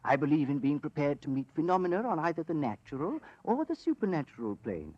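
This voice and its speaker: Dull old radio recording, male occult investigator, husky, swooping pitch, eager, courtly, theatrical. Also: sing-song, quick pace, no echo. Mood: eager storytelling. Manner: theatrical, emphatic, genteel. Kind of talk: 1940s radio acting.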